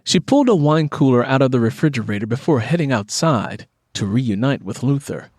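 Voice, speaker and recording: A man's voice: medium pitch, sing-song, brisk, a little mellow, no room sound, clean studio sound. A man reading scripted fiction as an audiobook narrator.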